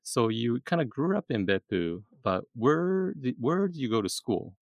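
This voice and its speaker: A man hosting a casual interview, excitable and full of fillers and restarts. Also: mid-range voice, moderate pace, dry recording, muffled recording. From East Asia. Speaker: podcast host.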